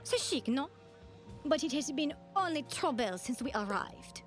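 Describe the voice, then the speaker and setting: A woman's voice: high voice, animated, unhurried, music in the background. A woman playing a weary, complaining French-accented resident of a fantasy world in a video game. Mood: exasperated.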